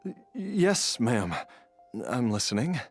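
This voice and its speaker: Game role: an anxious fresh zombie, deferential, halting and slow, music faintly behind. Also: male voice, medium pitch, sing-song. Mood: nervous, deferential. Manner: hesitant, stammering. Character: nervous, obedient male newly turned zombie. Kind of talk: video game voice acting.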